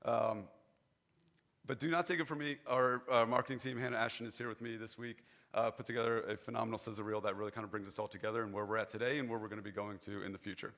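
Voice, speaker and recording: Hoarse young guy presenting at a conference, quick and gravelly, kinda low voice, keeps restarting bits. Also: fairly even intonation, fairly bright tone. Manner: chatty, informal, restarts phrases often. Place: conference hall stage.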